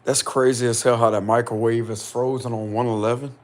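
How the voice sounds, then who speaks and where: A man vlogging casually and insistently from a small rented room, with a deep, loud voice. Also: brisk. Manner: excited, insistent, slangy. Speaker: spiritual vlogger. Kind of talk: casual vlog to camera.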